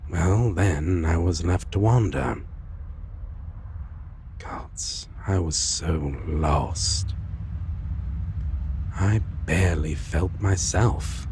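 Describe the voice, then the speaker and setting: Very deep, gravelly, brooding male vampire played by a man. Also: background noise, full character voice. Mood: haunted, halting. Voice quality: low gravelly voice, often breathy or whispered. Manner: halting, confessional, old-fashioned formal phrasing. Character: brooding, world-weary male vampire, a tender lost lover. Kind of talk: dark fantasy audio roleplay, slow intimate monologue.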